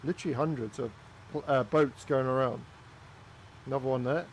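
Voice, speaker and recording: A man's voice: medium pitch, normal speed, slight background noise. A man from Wales with a Welsh accent, giving casual, chatty commentary while flying a flight simulator. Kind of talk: casual gaming commentary with chat.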